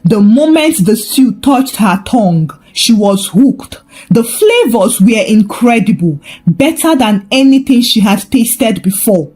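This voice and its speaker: A woman's voice: a little high, animated, unhurried, a little mellow. A woman storyteller reading a scripted folktale narration.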